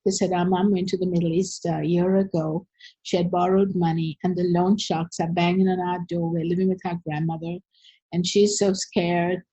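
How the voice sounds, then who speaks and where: An older woman from Sri Lanka with a low, mellow voice and a husky edge. She tells stories in a relaxed, chatty way, full of "you know" and "um". The sound is dry and a little dull. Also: normal speed, no room sound. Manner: storytelling, frequent fillers. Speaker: guest. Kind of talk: conversational interview.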